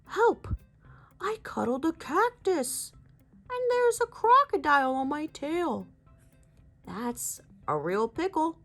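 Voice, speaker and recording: A woman's voice: high voice, sing-song, slow pace, fairly bright tone, soft background music, pitched above the performer's own voice, exaggerated voice. A woman voicing a playful, dramatic six-year-old girl puppy from a children's storybook. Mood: mock panic. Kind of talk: storybook character voice.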